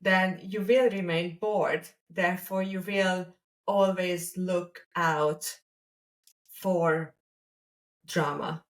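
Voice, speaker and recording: A woman from Hungary speaks with a Hungarian accent in a reflective, conversational way. Her pace is very slow, with deliberate pauses, and her pitch sits a little low. She sounds somewhat away from the microphone. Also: fairly even intonation, distant mic. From Hungary, a small village. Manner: deliberate, pausing mid-sentence. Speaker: podcast co-host.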